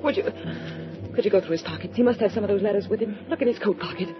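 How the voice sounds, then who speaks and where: A woman's voice: mid-range voice, rapid-fire, music in the background, natural voice. Actress doing anxious young woman in a 1940s radio thriller, pleading, muffled old transcription. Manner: urgent, pleading. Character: nervous young woman desperate for letters. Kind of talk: 1940s radio drama acting.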